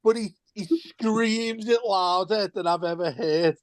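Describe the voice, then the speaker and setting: A man's voice: high-pitched, unhurried, no room sound. A man co-hosting a football podcast, telling stories with lots of swearing, laughter and stumbling restarts. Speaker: podcast co-host. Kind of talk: casual football podcast banter.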